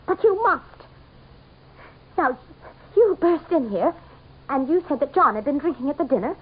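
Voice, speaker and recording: An actress playing a haughty, desperate wife with a dramatic, scornful intensity in a radio mystery drama. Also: female voice, high voice, animated, normal speed. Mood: frantic. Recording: dull old radio broadcast.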